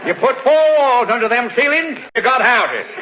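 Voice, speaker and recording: An actor playing a bombastic, declamatory senator in a 1940s radio comedy. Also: male voice, very high voice, animated, normal speed, dull audio. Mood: blustering. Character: blustering, bombastic politician, a senator. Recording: distant, echoey, very noisy old radio broadcast.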